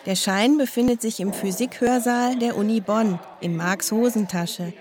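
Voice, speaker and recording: A woman's voice: on the high side, normal speed, darkish tone. A woman reading terse, log-like scripted narration. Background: music.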